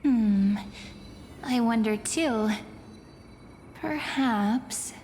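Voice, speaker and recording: A woman voicing a calm, musing young fortune teller in a video game, enigmatic and thoughtful. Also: a little high, very slow, in a large hall, a little hiss or hum.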